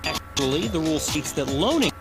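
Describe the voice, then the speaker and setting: A man's voice: fairly high pitch, big pitch swings, fairly quick, over loud music. A man voicing a haughty, pedantic young male student in a video game. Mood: condescending.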